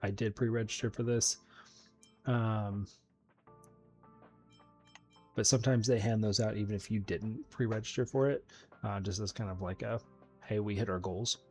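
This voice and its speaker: A man with a fairly low voice hosts a gaming video. He talks through the game in a casual commentary style, with faint music playing behind him. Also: steady tone, normal speed, pauses often, a little mellow.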